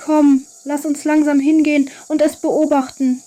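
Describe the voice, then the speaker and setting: A woman's voice: sits high, flat delivery, moderate pace, lightly acted. A woman voicing a friendly, curious and reassuring young boy in a children's bedtime story.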